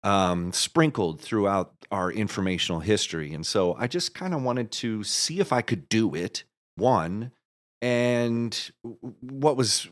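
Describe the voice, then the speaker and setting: Low-voiced man, rambling as he explains. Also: expressive, unhurried. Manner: rambling, thinks aloud with repeated false starts. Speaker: guest, writer and director of an audio musical. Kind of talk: casual interview answers, explaining a creative project.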